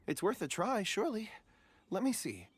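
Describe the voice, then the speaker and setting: A woman voicing a weary young girl in a video game, talking haltingly with sighs. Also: mid-range voice, wildly swooping pitch, slight background noise. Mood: faint. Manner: halting, sighing, hesitant. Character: weary, faint young girl, hesitant and soft.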